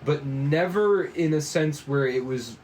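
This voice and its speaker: A man co-hosting in casual, rambling chat, circling haltingly through his explanations. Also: a little high, darkish tone, a little hiss or hum, rough recording. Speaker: co-writer and co-host.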